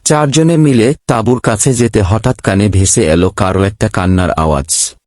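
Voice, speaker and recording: A man's voice: fairly low pitch, normal speed, a little mellow, dry recording, studio quality. A man narrating a scripted ghost story as its storyteller.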